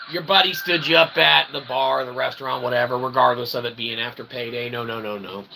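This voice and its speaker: A man's voice: mid-range voice, vocal fry, sharp tone, a little hiss or hum, rough recording. A middle-aged man hosting an online show in a rambling comedic monologue, wordy and mock-formal, often tagging phrases with okay or right.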